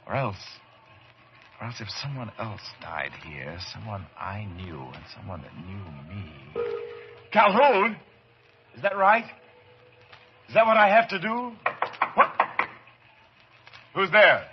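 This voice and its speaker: Obsessive doctor, wildly swooping deep voice. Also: male voice, big pitch swings, exaggerated voice. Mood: desperate calling. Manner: theatrical, halting, fervent. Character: obsessive, excitable male doctor hunting ghosts. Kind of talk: old time radio horror acting. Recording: dull, hissy old radio recording.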